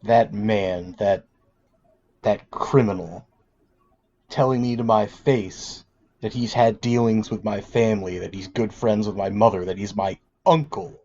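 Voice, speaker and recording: A man's voice: on the low side, steady tone, unhurried, a little mellow. A man playing a proud, brooding nobleman mage in a tabletop game, formal and indignant, on slightly dull audio.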